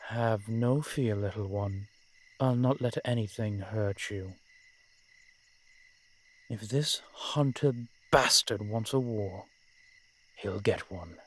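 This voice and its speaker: Centuries-old vampire general, played by a man, protective and proud, low register, very unhurried courtly delivery for intimate roleplay audio. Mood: protective, resolute. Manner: measured, archaic, courtly phrasing with dramatic pauses. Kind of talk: roleplay audio drama, addressed intimately to one listener.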